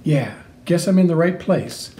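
A man's voice: a little high, expressive, unhurried, mellow. A man giving a theatrical read-aloud of comic book dialogue, acting out the parts. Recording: noticeable background noise.

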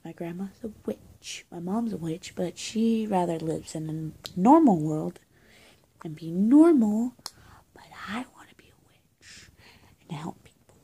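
A young woman plays a friendly teenage good witch in a homemade roleplay. Her voice is husky and quite creaky, with a mellow, muted tone. She talks casually and haltingly, straight to the listener, often sinking to a near-whisper. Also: mid-range voice. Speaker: roleplay video creator. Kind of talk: soft-spoken improvised roleplay, addressing the viewer. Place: small indoor room, plain walls. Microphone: phone or webcam at arm's length.

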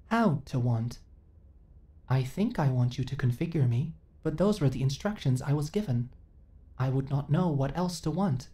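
Woman as a low, mellow, formal female android. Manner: stilted, formal, literal, emotionally flat delivery. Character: female companion android, earnest, uncertain, eager to serve. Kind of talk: ASMR roleplay audio drama.

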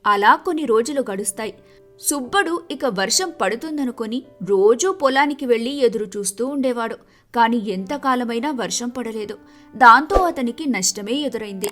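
A woman narrating a scripted moral folk tale in an expressive storytelling manner. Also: fairly high pitch, normal speed, soft background music.

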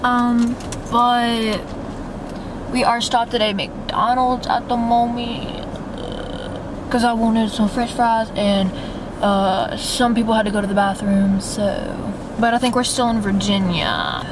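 A woman's voice: a little high, slow, background noise. A teenage girl from Mississippi chatting upbeat to her camera while riding in a car, teasing her family. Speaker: vlogger. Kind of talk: casual road trip vlog. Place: inside a moving car. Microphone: handheld phone camera at arm's length.

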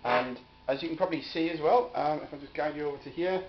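Higher-voiced man casually demonstrating a gadget. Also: quick pace, over loud music. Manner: hesitant, offhand. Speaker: electronics hobbyist demonstrator. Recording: dull home video with faint noise.

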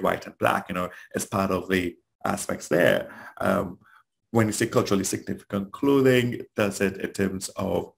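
A man's voice: deep voice, creaky. A man from Trinidad with a Trinidadian accent, giving a conversational webinar talk as a trainer and asking rhetorical questions.

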